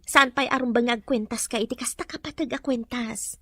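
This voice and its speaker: Ilocano mom in a radio drama, high voice, careful and concerned with her little girl. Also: fairly even intonation, brisk, bright, forceful tone. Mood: concerned, firm. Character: concerned mother cautioning her young daughter.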